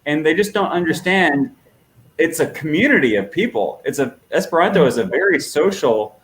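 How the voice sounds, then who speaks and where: A man's voice: fairly high pitch, animated, normal speed, fairly bright tone, low-quality recording. A man interviewing a guest in casual conversation, listening closely and agreeing with short replies. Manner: attentive, frequent short agreements.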